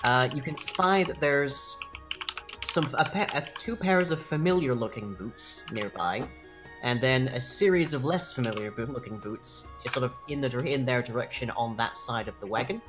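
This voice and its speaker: A man's voice: medium pitch, normal speed, a bit bright. Man narrating as dungeon master, music behind. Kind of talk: narrating a tabletop role-playing game. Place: home room, online video call.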